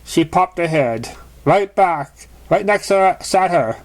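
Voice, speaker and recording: A man's voice: high voice, expressive, measured pace. A man reading a story aloud as an amateur narrator, stumbling over words now and then. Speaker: amateur reader of fan fiction.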